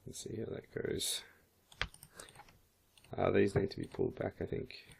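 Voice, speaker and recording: Croaky low-voiced man talking through a tutorial. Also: little pitch movement, measured pace, darkish tone, slight background noise. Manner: casual, thinking aloud while working. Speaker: 3D modeling instructor. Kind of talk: screen-recorded software tutorial.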